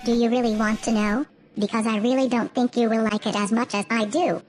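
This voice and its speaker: A woman's voice: a little high, fairly even intonation, a little fast, a little mellow, close to an everyday voice. A woman playing a sardonic, easily exasperated cyborg lawyer in a sci-fi audio drama, politely menacing. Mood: coolly threatening.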